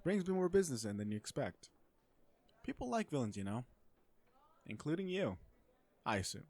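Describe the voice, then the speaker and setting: Casting: male actor as a sardonic, flirty corporate supervillain for romantic comedy audio roleplay, mid-pitched, conversational and sure of himself. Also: sing-song, normal speed, a little mellow, no echo. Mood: flirty, relaxed. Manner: conversational venting, sardonic and self-assured. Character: cocky, flirtatious businessman supervillain on a blind date.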